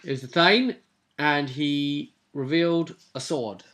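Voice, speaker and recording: A man's voice: on the high side, takes it slowly, fairly bright tone. A man in his twenties casually recapping a tabletop role-play session as game master, recorded on a webcam in a room at home. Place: small domestic room indoors.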